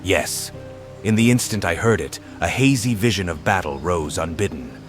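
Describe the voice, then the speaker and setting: A man acting a solemn warrior with a low voice, haunted and earnest. He speaks in measured, formal phrases as in a fantasy game, with music playing behind him. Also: close to an everyday voice. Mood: grave, courteous. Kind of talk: scripted video game voice acting. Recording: studio voice under game music.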